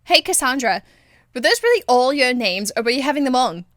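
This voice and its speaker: A woman's voice: high-pitched, sing-song, brisk, a bit bright, no room sound, studio quality. A woman voicing a cheeky, crude young elf thief in a video game, with a blunt, teasing, informal manner. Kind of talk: video game character acting.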